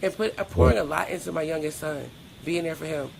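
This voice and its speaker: A woman's voice: sits low, fast, gravelly, a little mellow, a little hiss or hum, poor audio. A middle-aged woman talking candidly as a podcast guest in a blunt, emphatic, colloquial way. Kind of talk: candid personal interview.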